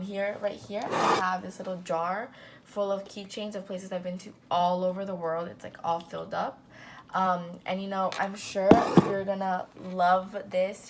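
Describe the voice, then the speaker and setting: A woman's voice: mid-range voice, slight background noise. A young woman talking casually as a business owner to a new intern, upbeat and full of fillers. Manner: chatty, upbeat, full of ums and "you know".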